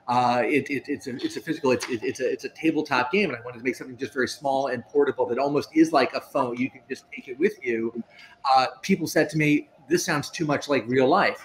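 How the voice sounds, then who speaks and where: A middle-aged man talking casually on a panel, often halting and repeating his words. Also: medium pitch. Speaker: panelist, tech reporter and game designer. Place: indoor room at home. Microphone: video call. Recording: noisy home video-call audio.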